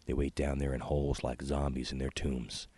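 A man's voice: extremely low pitch, little pitch movement, moderate pace, warm tone, slightly rough. A man reading his own literary short story aloud in a folksy storytelling manner.

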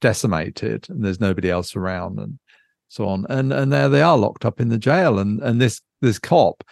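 A man's voice: lowish voice, moderate pace, vocal fry, darkish tone, no room sound, studio quality. A man chatting casually as a podcast host, thinking aloud hesitantly and drifting into asides. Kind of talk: casual unscripted book chat.

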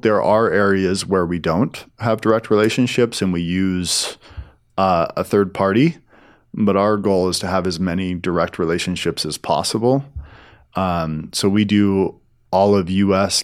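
A man giving explanatory answers as a business guest in an interview, in a halting way with repeated and restarted phrases. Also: low voice. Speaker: interview guest, film studio executive.

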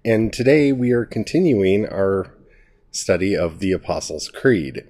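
A man with a cold, his voice gravelly and nasal, teaching a Bible study in a casual spoken way, earnest and thinking aloud. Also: lowish voice, expressive, a little slow, a little mellow.

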